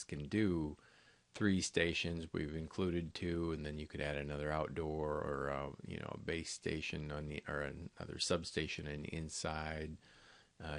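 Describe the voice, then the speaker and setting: Casting: male product presenter, very low creaky voice, plainspoken and explanatory, off-the-cuff delivery. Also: no echo. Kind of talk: unscripted product walkthrough.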